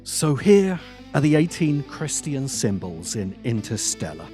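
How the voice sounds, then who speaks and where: A man narrates a scripted film essay at a slow pace. His pitch moves widely as he leans on key words and leaves dramatic pauses. Faint music plays behind his voice. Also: darkish tone. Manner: deliberate, dramatic pauses and emphasis. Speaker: host of a film commentary channel.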